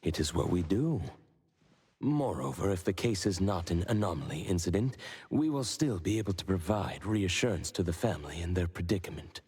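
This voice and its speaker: A composed agency boss in a video game. His voice is low and very husky, with long reverb trailing it. He speaks formally, in measured, polite, businesslike phrases. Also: lively intonation, a little mellow, exaggerated voice. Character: composed, formal male superior at an anomaly-hunting agency.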